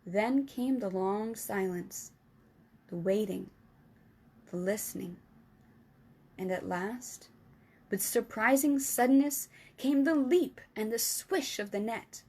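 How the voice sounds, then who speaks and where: Slow storytelling woman. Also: medium pitch, darkish tone, husky. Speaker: storytime reader. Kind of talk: reading a children's book aloud.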